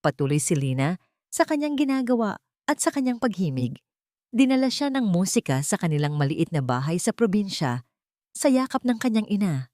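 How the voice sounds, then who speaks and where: A woman's voice: medium pitch, big pitch swings, normal speed, mellow, no echo, clean studio sound. A woman narrating a scripted dramatic story as an audio drama.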